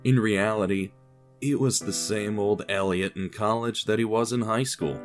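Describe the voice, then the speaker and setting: A man's voice: on the low side, steady tone, moderate pace, faint music behind. A man reading scripted story narration with a dry, wry, understated manner.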